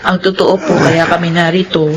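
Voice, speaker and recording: Actress as a polite, business-minded daughter. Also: female voice, fairly low pitch, flat delivery, normal speed, noisy background, lightly acted. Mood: polite, persuasive. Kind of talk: Filipino radio drama acting.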